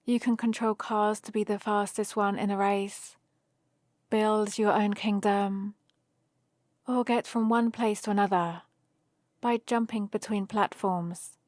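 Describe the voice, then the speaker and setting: A woman's voice: medium pitch, a little mellow, natural voice. A nerdy young adventuring heroine, played by a woman, chats to the listener in an ASMR roleplay. She rambles cheerfully and explains things in a friendly way, taking her time. The sound is dry and free of room echo.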